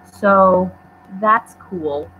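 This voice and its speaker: A woman's voice: mid-range voice, extremely slow. Young woman vlogger born in Poland, rambling and self-deprecating, heard through tinny phone-like audio. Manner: chatty and rambling, many "um" and "like", self-deprecating asides. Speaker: book vlogger. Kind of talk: casual livestream chat, answering viewer questions. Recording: tinny phone-like livestream audio, faint noise.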